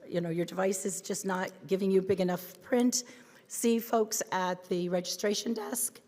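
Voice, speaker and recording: A hoarse woman welcomes a conference crowd from a podium, her voice ringing with a long reverb off a large hall. She talks in a friendly, chatty way, full of ums and restarts, and her pitch sits in the middle for a woman. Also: darkish tone. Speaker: conference co-chair. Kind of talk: welcome address with announcements.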